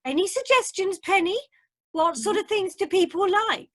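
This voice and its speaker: A woman's voice: very high-pitched, normal speed. A woman playing a modest, nervous Welsh amateur singer with a Welsh accent in a radio comedy. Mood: modest, hesitant. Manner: hesitant, flustered, polite.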